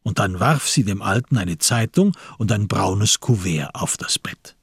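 A man narrating a literary radio drama in a measured, grave storytelling manner. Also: on the low side, animated, vocal fry, slightly rough, dry recording, studio quality.

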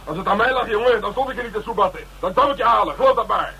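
An actor playing a tough, sardonic police detective who taunts bluntly in a radio crime drama. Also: male voice, high-pitched, animated, very fast, vocal fry, sharp tone, heavily acted. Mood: hard, urging. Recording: noisy old radio drama recording.

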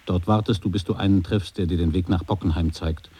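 An actor in an experimental radio play, speaking in short, calm, husky lines. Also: male voice, low voice, a little fast. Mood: measured.

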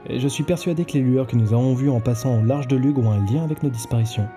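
Guy playing earnest detective type in audio fiction, really dark soft voice, some music under it. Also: mid-range voice, fast, lightly acted. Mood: intent. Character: earnest, secretive male investigator, dogged and self-assured. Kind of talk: audio drama acting.

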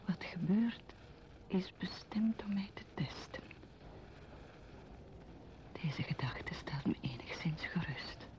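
An actress playing a withdrawn, wary, melancholy woman in an intimate radio play monologue, speaking in a breathy half-whisper. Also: on the low side, animated, slow pace, long pauses, rough recording. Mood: wary, pensive.

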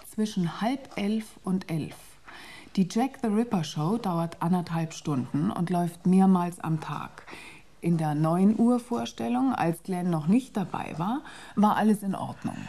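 Fugitive programmer, radio drama: mellow, intense, earnest, faint noise behind. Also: female voice, lowish voice, expressive, a little slow. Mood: brooding. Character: earnest female programmer on the run, intense and sharp-witted.

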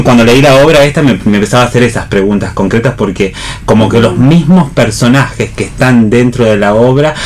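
A man's voice: mid-range voice, slight background noise. A man with an Argentine accent leads a casual round-table on the radio, rephrasing as he goes and posing questions to the group. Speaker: host and actor leading a panel discussion. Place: radio programme with background ambience.

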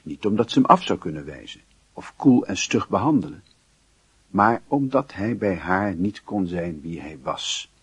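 A man's voice: sits low, lively intonation, moderate pace, breathy edge, no room sound, exaggerated voice. A man narrating a literary radio drama in a solemn, introspective, dramatic manner. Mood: brooding. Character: solemn storyteller of a lovesick youth's inner life.

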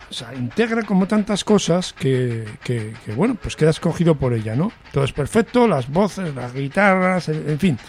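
A man's voice: fairly high pitch, darkish tone, slight background noise. Chatty Spanish man, music host. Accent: Spain. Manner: chatty, joking, repeats words as he goes. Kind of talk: casual music commentary.

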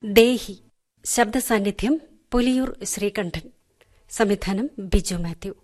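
A woman reads programme credits in an unhurried, formal manner. Her pitch sits in the middle range. It sounds like a radio station announcement. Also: a little mellow. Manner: measured, formal.

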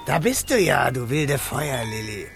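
A woman's voice: a bass voice, sing-song, measured pace, gravelly, soft background music, full character voice. A woman playing a boastful, pushy male water spirit in a children's radio play, teasing and mocking in a singsong way. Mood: gleeful, boastful.

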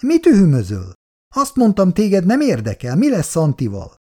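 A man's voice: mid-range voice, huge pitch swings, mellow. A man voicing a worried, reproachful elderly wife who keeps nagging her sleepy husband.

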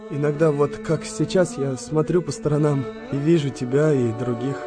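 An actor playing a shell-shocked, brooding young British sailor in a wartime audio drama, speaking in halting, emotional monologue. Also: male voice, on the high side, steady tone, natural voice. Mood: dazed, bitter. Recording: music under the voice.

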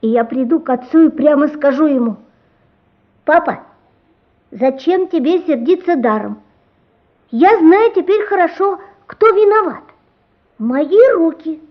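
A woman playing an anguished, pleading little boy in a radio play, earnest and frightened, speaking in emotional, halting phrases. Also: sits high, expressive, muffled recording. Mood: pleading, tearful. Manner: emotional, halting, breathless whispers and cries.

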